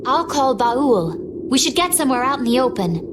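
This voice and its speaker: A woman voicing a poised, teasing young adventurer in a video game, composed in manner, over loud music. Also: high voice, very animated, normal speed, background noise. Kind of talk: English video game voice acting.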